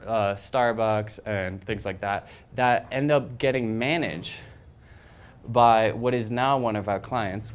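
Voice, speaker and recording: A young man who founded a design agency, telling a rambling, animated story to a crowd on a handheld microphone in an event room. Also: fairly low pitch, clean tone. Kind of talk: casual presentation to an audience.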